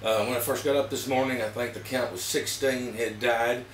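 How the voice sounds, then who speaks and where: A man with an Arkansas Southern accent giving a casual, plain-spoken, halting product review to camera. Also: medium pitch, steady tone. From Arkansas, United States. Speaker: vlogger reviewing emergency gear. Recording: roomy, distant mic with background noise.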